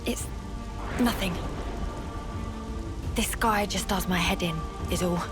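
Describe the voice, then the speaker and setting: A woman's voice: lowish voice, takes it slowly, music in the background. A British woman playing a blunt, feisty young female fighter in a video game, speaking casually. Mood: irritated. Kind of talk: video game cutscene acting.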